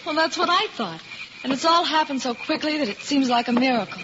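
Spirited fiancée, muffled radio. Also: female voice, high-pitched, expressive, a little fast. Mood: tender. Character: devoted, spirited young fiancée of a ballplayer. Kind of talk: 1950s radio acting. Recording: dull, noisy old radio recording.

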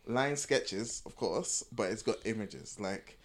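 A man's voice: fairly low pitch, slow pace. A young man chatting casually and candidly as a podcast co-host, repeating phrases as he explains. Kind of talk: casual, candid group chat.

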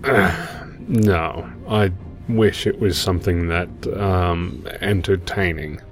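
A man playing a troubled rookie mech pilot in an audio drama, speaking in a halting, haunted way. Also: low-pitched, soft background music. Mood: haunted, uneasy. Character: troubled male rookie mech pilot hiding his fear. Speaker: amateur audio drama actor.

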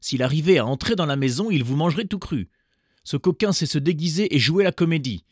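A man's voice: on the high side, animated, brisk, darkish tone, slightly rough, dry recording, studio quality, pitched above the performer's own voice. A man voicing a caring mother goat warning her little ones. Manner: anxious, warning.

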